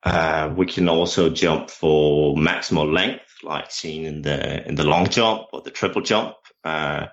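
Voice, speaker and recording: Man, Danish accent, very low voice, hesitant with fillers, muffled lo-fi audio. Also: unhurried, hardly any pauses. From Denmark. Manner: hesitant, many fillers and restarts. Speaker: jumps coach and lecturer. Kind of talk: interview answers. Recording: dull lo-fi sound.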